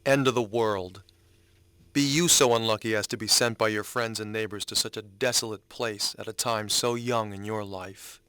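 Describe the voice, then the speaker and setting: A man's voice: on the low side, fairly even intonation, moderate pace, sharp tone, smooth, no echo. A man giving a dramatic reading as a blunt, indignant critic of a war. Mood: grave. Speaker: reader. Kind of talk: dramatic reading of written commentaries.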